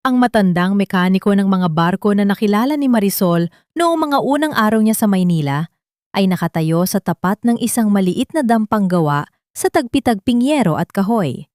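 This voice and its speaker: A woman's voice: medium pitch, a little mellow, no echo, clean studio sound. A woman reading a scripted dramatic story as an audiobook narrator.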